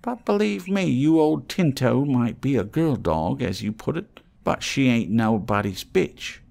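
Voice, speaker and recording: A man voicing an eccentric old tramp trader in an audiobook, wheedling in excitable, salty, rambling patter. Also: medium pitch, unhurried, gravelly, pitched above the performer's own voice. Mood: indignant, excitable.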